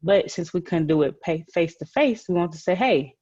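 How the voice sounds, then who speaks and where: A woman's voice: lowish voice, expressive, brisk, mellow, breathy edge, no echo, lo-fi sound. A woman hosting an online program for young girls, speaking in an encouraging, announcer-like way. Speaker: host of a girls' group virtual story time.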